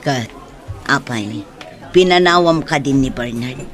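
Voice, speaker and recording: An actor with an Ilocano accent playing a frank confidant, pointed and questioning, in a radio drama. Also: male voice, mid-range voice, unhurried, a bit bright, close to an everyday voice. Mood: reproachful.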